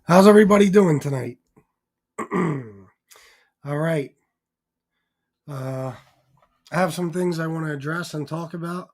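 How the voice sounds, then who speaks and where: A man hosting a livestream, chatting casually and informally with a hoarse voice that is giving out. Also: fairly high pitch, slow, pauses often. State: hoarse, losing his voice. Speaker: livestream host. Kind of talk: casual livestream chat with shout-outs.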